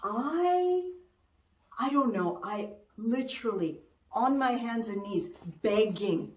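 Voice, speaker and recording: Middle-aged woman, craft-tutorial host: high-ish voice, bubbly and excitable, stuffy with a cold. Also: slow pace. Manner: bubbly, excitable, laughs often. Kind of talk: chatty step-by-step craft tutorial.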